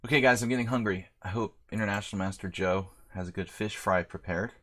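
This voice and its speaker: A man's voice: on the low side, fast talker, fairly bright tone. A man streaming a chess simul, chatty and self-deprecating, thinking aloud over his moves. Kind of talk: live-stream commentary.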